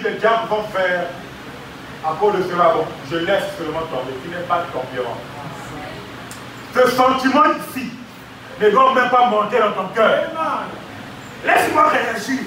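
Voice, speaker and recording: A man's voice: high voice, bright, forceful tone, a little hiss or hum. A man preaching a sermon as pastor, calling for responses and stretching out key words. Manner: preaching with call-and-response, drawn-out emphasis. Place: large church hall. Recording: echoey church hall, mic at a distance.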